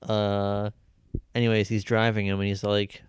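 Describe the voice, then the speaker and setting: A man's voice: fairly low pitch, flat delivery, unhurried, clear, resonant tone, no room sound. A man casually chatting about a movie, joking and laughing as he retells scenes. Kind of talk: casual movie review chat.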